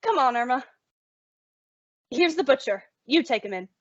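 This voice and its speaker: A woman's voice: high voice, lively intonation, moderate pace, a bit bright, no echo. A woman playing a sensible, exasperated young roommate who also narrates an old-time radio comedy in a wry, bossy way. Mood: exasperated, wavering. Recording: dull, lo-fi, dry audio.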